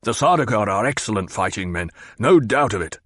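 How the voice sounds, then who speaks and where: A man's voice: on the low side, expressive, fairly quick, a bit bright, no echo. A man doing a petulant, blustering baron. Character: fat petulant baron, older man, demanding.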